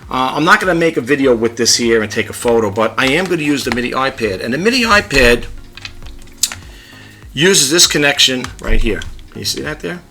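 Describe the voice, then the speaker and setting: A man vlogging a casual tech demo at his home desk, chatty and rambling, in a low-key, fairly monotone voice. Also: a little fast, soft background music. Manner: chatty, rambling, demonstrating gadgets. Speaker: YouTube vlogger.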